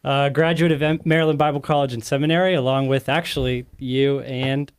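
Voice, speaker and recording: A man's voice: mid-range voice, steady tone, fairly quick, smooth. A man in his thirties hosting a casual podcast, talking in a friendly, rambling way and often correcting himself. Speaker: host.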